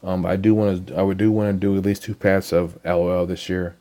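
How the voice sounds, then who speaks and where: Young male gaming vlogger, deep mellow voice, hoarse with a cold, halting and rambling. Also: little pitch movement. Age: young adult. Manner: rambling, halting, many ums and repeated words. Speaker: gaming channel host. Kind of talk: casual game account walkthrough.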